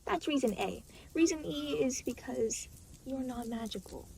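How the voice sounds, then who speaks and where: A woman's voice: high voice, measured pace, vocal fry, a little mellow, a little hiss or hum, rough recording. A woman playing an aloof, reluctant, weary demon guide in an intimate audio roleplay, speaking in a dry, matter-of-fact, hesitant way. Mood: dry explaining. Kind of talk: intimate scripted audio roleplay spoken to the listener.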